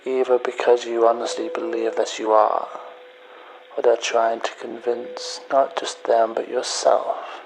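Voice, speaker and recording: A man playing a bitter, betrayed man leaving a cold, accusing message in an audio drama, in a hushed, deliberate, taunting manner. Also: mid-range voice, level tone, gravelly, slight background noise, poor audio. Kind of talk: dramatic audio story monologue.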